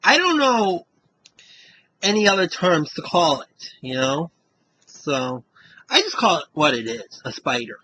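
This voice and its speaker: A man's voice: on the high side, sing-song, measured pace, long pauses, bright, forceful tone, breathy edge, rough recording. A man with a Western Massachusetts accent, reading a word list and chatting casually to camera, rambling and tagging "you know" onto his sentences. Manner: rambling, says "you know" after almost every line. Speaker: vlogger doing an accent tag.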